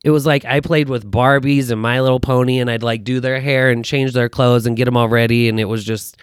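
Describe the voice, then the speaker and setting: An American man in his thirties chatting casually as a podcast guest, telling personal stories in an animated, self-deprecating way. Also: mid-range voice, brisk, clean tone, no room sound, clean studio sound. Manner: animated, self-deprecating joking with acted-out quotes. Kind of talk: casual chat, personal storytelling.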